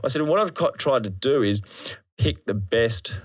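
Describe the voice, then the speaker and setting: An Australian man chatting casually as an interview guest, retelling things in an animated, slangy, sweary way and acting out his thoughts. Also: mid-range voice, moderate pace, breathy edge. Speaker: interview guest, drum and bass MC. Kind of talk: casual anecdotal chat.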